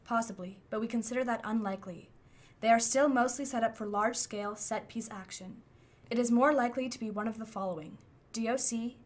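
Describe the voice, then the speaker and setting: A woman's voice: fairly high pitch, close to an everyday voice. A woman playing an impersonal machine intelligence in a radio play, reporting findings in a formal, precise, matter-of-fact way.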